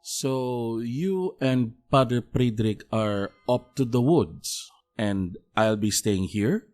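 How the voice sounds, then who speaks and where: An actor playing a polite, earnest visiting priest in an audio drama, gentle, hesitant and formal. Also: male voice, mid-range voice, takes it slowly, close to an everyday voice.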